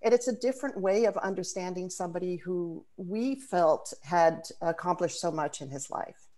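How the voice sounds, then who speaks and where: A woman's voice: on the low side, moderate pace, darkish tone. A woman from the Dominican Republic, a native Spanish speaker, hosting a video call event in a thoughtful way with frequent fillers and restarts. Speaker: host.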